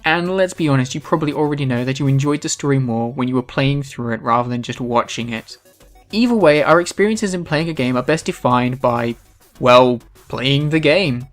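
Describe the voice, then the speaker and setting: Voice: medium pitch, moderate pace, soft background music. A video essay host giving scripted, opinionated commentary with an emphatic delivery.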